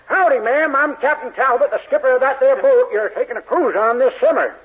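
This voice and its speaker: A man's voice: extremely high pitch, brisk, husky. A man voicing a folksy, plainspoken old sea captain with rustic talk for a 1940s radio comedy. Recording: tinny, hissy vintage radio recording.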